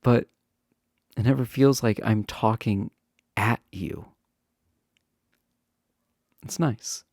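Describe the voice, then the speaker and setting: Man playing a slow, mellow, flirty college guy. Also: on the low side, dry recording, clean studio sound. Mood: friendly, coaxing.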